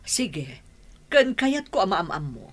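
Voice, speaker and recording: A woman's voice: medium pitch, big pitch swings, takes it slowly, husky, full character voice. A woman playing a worried, caring mother of a grown son in an Ilocano radio drama, speaking in a pleading, motherly way. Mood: motherly probing.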